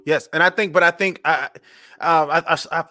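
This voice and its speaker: An American man hosting a casual live interview, speaking haltingly and repeating words as he thinks. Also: fairly high pitch, steady tone, sharp tone. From Charleston, United States. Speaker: talk show host.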